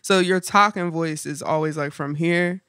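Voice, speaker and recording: A young woman with a deep voice, chatting as a guest musician on a podcast, telling stories with animation and frequent laughter. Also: normal speed, no echo, studio quality. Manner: animated storyteller, laughs often. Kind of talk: casual podcast chat. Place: living room, indoors.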